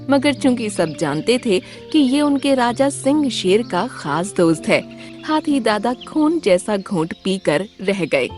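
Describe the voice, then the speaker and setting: Female storyteller for children's cartoon, very animated intonation, music bed. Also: a little high. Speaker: narrator. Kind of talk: scripted children's story narration.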